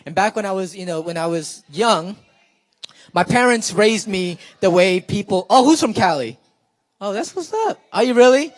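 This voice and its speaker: A man's voice: high-pitched, expressive, normal speed, no room sound. A young man doing stand-up comedy for a live crowd, chatty and playful, laughing and talking with the audience. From Philippines, raised in California. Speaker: stand-up comedian and spoken word performer.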